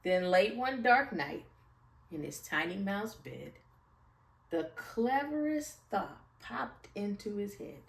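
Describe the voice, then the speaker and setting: A woman reads a children's storybook aloud with very lively, swooping intonation. She takes her time over the lines in a slightly high voice. The room is echoey and she sits well back from the mic. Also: slow pace, vocal fry, far from the mic. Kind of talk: expressive children's picture-book read-aloud.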